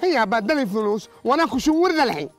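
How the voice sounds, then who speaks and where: A man's voice: extremely high pitch, very animated, racing, faint music behind. A man with a Yemeni accent co-hosting a street quiz, chatting politely with older passers-by. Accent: Yemeni Arabic. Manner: friendly, polite, chatty with elders. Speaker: co-host. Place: outdoor street in a town. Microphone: handheld mic.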